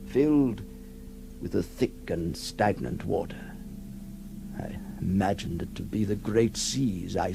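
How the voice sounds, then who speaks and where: An actor playing a haunted, lonely male outcast in a halting, theatrical 1960s radio drama monologue, intense and tormented. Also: deep voice, gravelly, full character voice. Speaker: actor narrating as the character. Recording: noisy 1960s radio recording.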